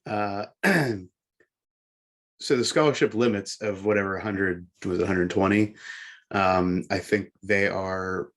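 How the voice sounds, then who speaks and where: A man's voice: low-pitched, a little slow. A man co-hosting a sports podcast, going through sports news and talking it over. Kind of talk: sports news read and discussion.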